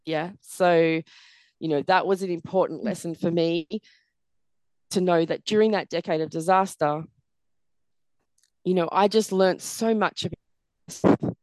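Woman, middle-aged, croaky tired voice, earnest, dry close sound. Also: fairly low pitch, fairly even intonation, measured pace, pauses often, a little mellow, dry recording, rough recording. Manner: earnest, many "you know" fillers. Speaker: podcast guest. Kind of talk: reflective interview conversation.

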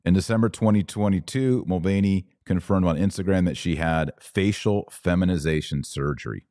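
A man's voice: low-pitched, moderate pace, dark tone, no room sound, studio quality. An American man hosting a podcast, delivering opinion commentary in an emphatic, preachy manner full of rhetorical questions. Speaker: podcast host.

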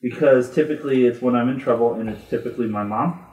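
Guy cohosting a podcast, teasing and cracking jokes, mid pitch, kinda roomy echo. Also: fast, darkish tone. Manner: joking, teasing, laughs often. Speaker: podcast co-host. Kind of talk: casual banter.

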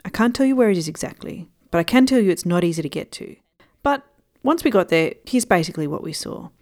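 A woman's voice: on the low side, expressive, fast talker, a little mellow. A woman hosting a podcast, explaining things informally and speaking straight to listeners between interview questions. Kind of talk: conversational narration and interview.

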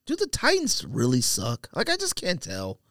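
A man's voice: fairly high pitch, huge pitch swings, moderate pace, a bit bright, no room sound, clean studio sound. A man co-hosting a casual sports podcast, arguing emphatically and often repeating himself to make a point. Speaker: podcast co-host.

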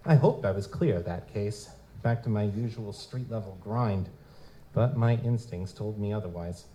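A man's voice: fairly low pitch, moderate pace, dark tone, a little hiss or hum, close to an everyday voice, poor audio. A man playing a weary, wisecracking private eye in a noir audio drama, tough, slangy and sardonic. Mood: wry, weary. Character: street-level green goblin-like male private detective, world-weary, wisecracking, wary. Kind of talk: hardboiled noir audio drama narration and dialogue. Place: live stage performance at a convention.

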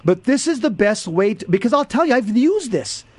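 Earnest, emphatic radio co-host, dry studio sound. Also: male voice, high-pitched, sing-song, moderate pace, darkish tone, breathy edge, no room sound. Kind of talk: radio talk show storytelling and teaching.

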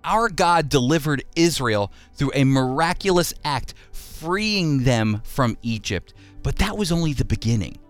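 A man hosting a radio Bible program, teaching and reading scripture in an emphatic, deliberate way with rhetorical questions. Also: on the high side, animated, no room sound, faint music behind.

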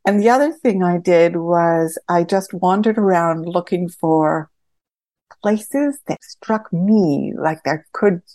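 Woman, lowish voice, thoughtful interviewee, halting phrasing, close dry sound. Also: warm tone, no room sound. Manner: thoughtful, halting, searching for words. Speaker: theatre podcast series creator. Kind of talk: reflective interview talk.

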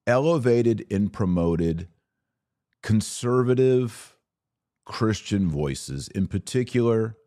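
Male podcast host, very low voice, unhurried commentary with weighted pauses. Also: a little mellow. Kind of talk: political and religious commentary.

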